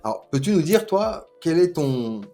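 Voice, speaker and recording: A man's voice: fairly high pitch, sing-song, moderate pace, mellow. A man hosting a tech interview, asking long, hesitant questions full of fillers. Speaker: podcast host, interviewer.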